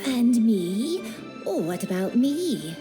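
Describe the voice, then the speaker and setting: A woman voicing a gentle, forgetful granny in a video game, with a dreamy, childlike way of talking. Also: a little high, big pitch swings, extremely slow, over music, exaggerated voice. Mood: needy. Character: gentle, forgetful granny who looks youthful.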